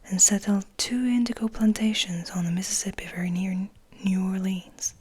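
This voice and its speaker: A woman reading as a composed, wistful male vampire in a soft, confiding, breathy whisper for an ASMR audiobook. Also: mid-range voice, level tone, moderate pace, dry recording. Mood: composed, reminiscing. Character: gentle, composed, wistful male vampire telling his story.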